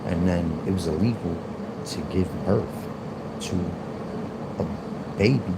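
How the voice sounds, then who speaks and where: A man's voice: low voice, unhurried. A man in his forties with a Philadelphia accent, rambling and repeating himself in a livestream monologue. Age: 40s. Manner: rambling, repetitive, argumentative. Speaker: livestream host. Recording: loud background noise, air conditioner hum.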